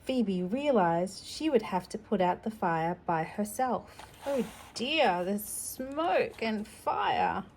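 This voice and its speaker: Slow-paced woman reading a children's storybook. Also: mid-range voice, lively intonation, a little mellow, slight background noise. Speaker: storytime reader. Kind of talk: reading a children's picture book aloud with chatty asides to a young child.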